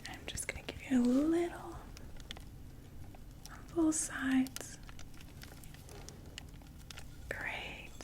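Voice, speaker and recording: A woman's voice: high-pitched, slow pace. A woman whispering as a chatty vampire saleswoman. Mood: soothing. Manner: soft whispered sales patter with fillers. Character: chatty undead female vampire makeup saleswoman. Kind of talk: ASMR roleplay.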